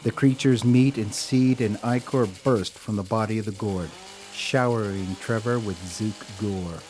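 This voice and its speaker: A man narrating an audio drama in a measured, deliberate storytelling style. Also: on the low side, background noise.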